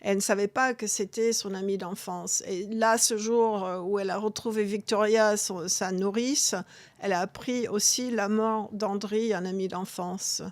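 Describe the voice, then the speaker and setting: Femme anglophone, hésitante, son sec. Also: female voice, mid-range voice, steady tone, no room sound. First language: English. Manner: halting, many restarts and fillers. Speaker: guest author. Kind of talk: interview conversation.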